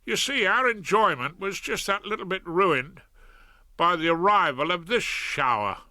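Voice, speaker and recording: Cheery grandfatherly crook, put on by a male narrator, South London accent, chatty, lively and loud. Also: sits high, unhurried, no echo, voice pushed up, full character voice. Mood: confiding. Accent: South London working-class. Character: cheerful old petty-criminal grandfather. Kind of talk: audiobook character voice.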